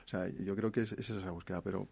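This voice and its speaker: A man from giving a halting, spontaneous answer as a panelist. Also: low voice, steady tone, creaky, mellow, breathy edge.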